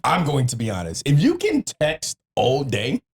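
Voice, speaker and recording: An American man chatting casually in a blunt, opinionated, slangy way, with a husky voice. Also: medium pitch, wildly swooping pitch, moderate pace. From Northern Virginia and Washington DC area, United States. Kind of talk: casual chat.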